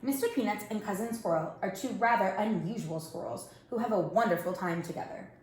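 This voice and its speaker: A woman's voice: medium pitch, a little fast, darkish tone, a little hiss or hum. A woman working as a storyteller reads a picture book aloud expressively, addressing young children. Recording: roomy, echoing indoor sound.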